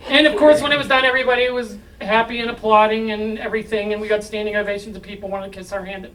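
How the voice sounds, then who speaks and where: A man's voice: sits high, little pitch movement, fast talker, distant mic, a loud crowd, rough recording. A man presenting live to an audience in a joking, self-mocking, chatty way.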